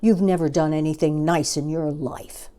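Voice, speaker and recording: A woman voicing a big, scolding male elephant in a children's storybook, denouncing loudly and emphatically. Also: low voice, fairly even intonation, normal speed, natural voice. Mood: annoyed, scolding.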